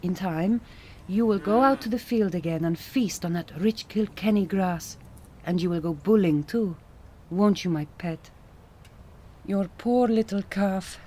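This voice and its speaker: A woman playing a grieving, frank middle-aged Hungarian vet in a radio drama, with a Hungarian accent and deliberate, formal phrasing. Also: medium pitch, dark tone, natural voice. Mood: tender, sorrowful.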